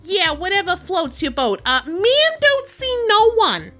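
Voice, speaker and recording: A woman's voice: extremely high pitch, big pitch swings, a little slow, pushed and bright, faint music behind, exaggerated voice. A woman voicing a bored, brash receptionist with slangy, dismissive speech.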